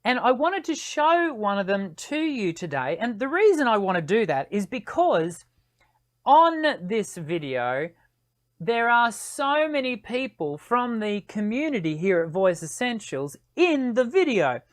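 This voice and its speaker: A woman's voice: on the high side, very animated, measured pace. A woman, a singing teacher chatting casually to her live-stream audience and often restarting her phrases.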